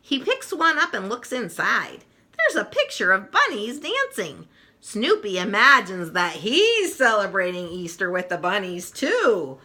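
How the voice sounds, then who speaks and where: A woman reads a children's storybook aloud. Her voice is very bright and animated, and she takes her time with an expressive, playful delivery. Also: medium pitch, unhurried. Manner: expressive, playful, chuckling asides.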